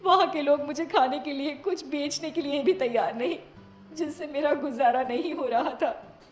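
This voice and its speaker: A woman's voice: sits high, normal speed, reverberant, soft background music, natural voice. A woman playing a sorrowful, shunned young widow in a cartoon moral story, with a plaintive manner.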